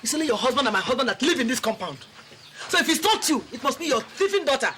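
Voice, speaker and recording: A woman playing a shrill, accusing village woman. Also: big pitch swings, fast, slight background noise. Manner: shouting, accusing, confrontational. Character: quarrelsome village woman accusing her neighbor.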